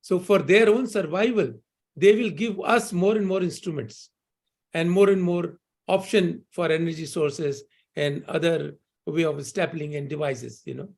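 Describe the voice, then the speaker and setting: A man's voice: fairly high pitch, measured pace, vocal fry, darkish tone. Surgeon, male, upper register, upbeat webinar answers peppered with "you know". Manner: fluent, optimistic, repeats "you know" and "I think".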